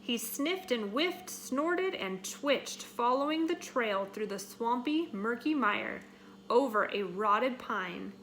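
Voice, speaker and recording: Slow-paced woman reading a picture book aloud. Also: on the high side, animated, a bit bright, a little hiss or hum, poor audio. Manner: dramatic, deliberate delivery with acted sound words. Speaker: storybook reader. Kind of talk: expressive read-aloud of a children's picture book.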